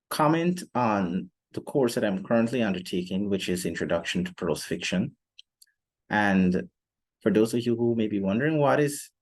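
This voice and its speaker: A young man presenting an explanatory podcast monologue in a measured, deliberate way with formal phrasing. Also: fairly low pitch. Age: young adult. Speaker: student podcast host.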